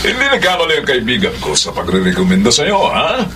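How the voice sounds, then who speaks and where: A man's voice: medium pitch, huge pitch swings, quick pace, bright, forceful tone, distant mic, slight background noise. A man playing a smug, gleeful crime boss in a theatrical radio drama. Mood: smugly pleased.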